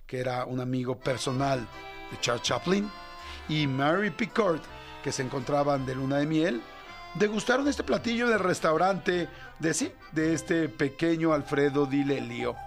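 Joking Mexican radio host, fairly high voice. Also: male voice, lively intonation, normal speed, soft background music. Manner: rambling and joking, with playful asides. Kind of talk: casual morning radio chat.

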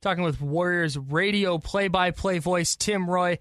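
A male sports radio host with a slightly high voice, recorded close and dry. He interviews conversationally, setting up his questions in plain talk. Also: a little slow, a bit bright, no room sound.

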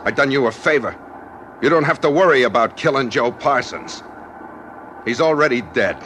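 A man's voice: medium pitch, animated, moderate pace, a bit bright, lightly acted. An actor playing an evasive, cornered trucker in a 1940s radio drama, with urgent, slangy tough-guy talk. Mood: desperate, urgent. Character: cornered, evasive male trucker pleading for his life. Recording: noisy vintage 1940s radio recording.

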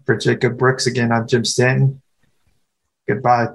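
Flat-voiced male podcast host. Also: mid-range voice, moderate pace, breathy edge. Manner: brief, steady questions. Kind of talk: interview hosting.

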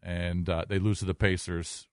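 A man's voice: deep voice, level tone, moderate pace, no echo, clean studio sound. A man co-hosting a sports talk show in casual style, rattling off figures and names and repeating phrases. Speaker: sports radio co-host. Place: radio studio. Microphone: close studio microphone.

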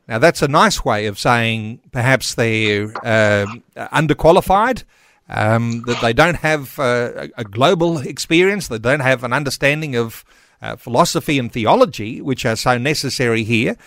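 Man, talkback radio host, mid-pitched, measured, dry close mic. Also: lively intonation, a bit bright, no room sound. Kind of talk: talk radio hosting.

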